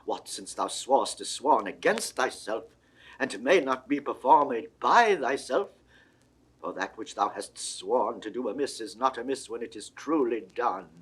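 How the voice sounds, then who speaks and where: An actor playing a stern, imperious cardinal, declaiming in measured, commanding classical Shakespearean radio-drama style. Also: male voice, medium pitch, animated, fairly bright tone, husky, poor audio. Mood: insistent, reasoning. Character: stern imperious Roman cardinal, threatening churchman. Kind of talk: 1960s classical Shakespearean audio drama acting.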